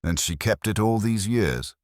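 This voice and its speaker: A man voicing a mild, courteous police inspector, gentle and understated but persistent, in a mystery story. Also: on the low side, animated, a little slow, no room sound, clean studio sound, pitched above the performer's own voice. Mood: quietly intent.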